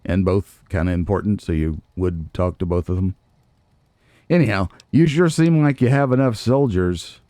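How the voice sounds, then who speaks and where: A man's voice: deep voice, normal speed, warm tone, dry recording, clean studio sound. A man giving casual let's play commentary on a video game, musing to himself and poking fun at himself. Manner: musing to himself, self-deprecating. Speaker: gaming video host, let's player.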